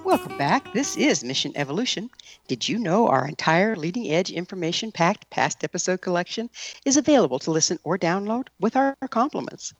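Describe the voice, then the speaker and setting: A woman's voice: on the low side, sing-song, a little fast, faint music behind. A woman hosting a broadcast interview show and making show announcements. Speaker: radio show host.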